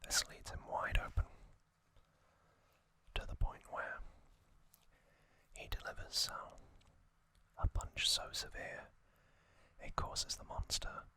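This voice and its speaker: Whispering man, slow ASMR narration. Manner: slow, deliberate delivery in short hushed phrases. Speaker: ASMR host.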